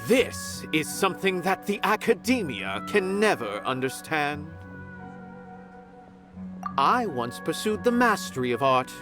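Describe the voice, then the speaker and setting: Lofty game aesthete: grandiloquent, eloquent, highly animated, music behind. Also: male voice. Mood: lofty, reflective. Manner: theatrical, grandiloquent. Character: haughty, eloquent male art connoisseur and performer. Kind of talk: video game voice acting.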